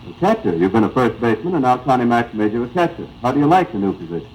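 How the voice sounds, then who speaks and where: Male American interviewer, middle-pitched, bantering through a 1930s sports radio segment with faint noise and distortion. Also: very animated, racing, darkish tone. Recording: 1935 AM radio broadcast, noisy, slightly distorted.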